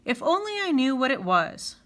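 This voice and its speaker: Storybook grizzly bear, played by a woman: sweet-natured, curious, courteous, lively high-pitched delivery. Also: normal speed, full character voice. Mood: wondering, hopeful. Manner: earnest, polite, wondering. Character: gentle, curious, polite young male grizzly bear.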